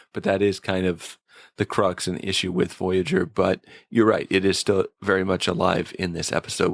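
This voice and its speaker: A man with a fairly low voice and plenty of vocal fry chats as a podcast co-host. He is wry and critical in his casual reviewing. The recording is close and dry. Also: no echo. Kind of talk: casual TV episode review chat.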